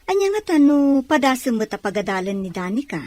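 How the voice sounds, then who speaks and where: Practical, persuasive sister, close and dry. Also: female voice, sits high, sing-song, fairly quick, darkish tone, dry recording. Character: practical, persuasive woman advising her sibling. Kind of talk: Filipino radio drama acting.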